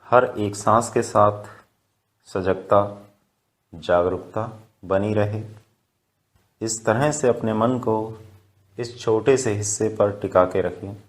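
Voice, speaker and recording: A man leads a guided meditation at a very slow pace. His voice is fairly low. He gives calm, measured instructions. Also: rough recording.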